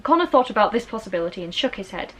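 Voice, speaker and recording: A woman's voice: medium pitch, sing-song, very fast, fairly bright tone. A woman reading a children's novel aloud as a storybook narrator. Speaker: storybook reader. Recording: noisy, rain in the background. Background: rain.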